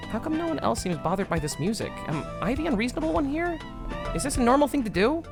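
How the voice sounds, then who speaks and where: A man's voice: very high-pitched, big pitch swings, fast talker, vocal fry, over music, pitched above the performer's own voice, full character voice. A man reading as a sleepless, brooding young woman. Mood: melodramatic. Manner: melodramatic, menacing read of game text. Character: sleep-deprived young woman turned would-be killer.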